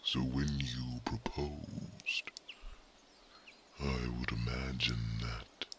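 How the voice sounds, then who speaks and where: A man voicing a wise, devoted male mage who reads minds, speaking in a soft, formal whisper for an ASMR roleplay. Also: rumbling low, fairly even intonation, very slow, slight background noise, full character voice. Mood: tender.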